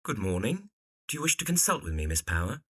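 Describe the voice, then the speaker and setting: A man's voice: on the low side, very animated, a little fast, breathy edge. A man playing an earnest, lovestruck young architect in a period audio drama. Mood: devoted, courteous.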